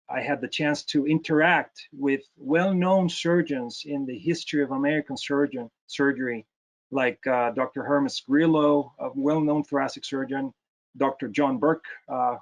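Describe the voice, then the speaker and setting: Halting man, fairly high voice. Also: rough recording. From Chile. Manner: hesitant, frequent fillers and repeated words. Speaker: guest lecturer, plastic surgeon. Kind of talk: lecture over a video call. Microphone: video call.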